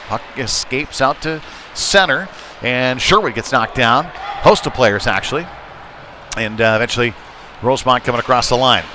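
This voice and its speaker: Male hockey play-by-play commentator, mid-pitched, conversational and halting, recorded live with noticeable arena noise. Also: pushed and bright. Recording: noisy live arena sound. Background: rink noise and ambience.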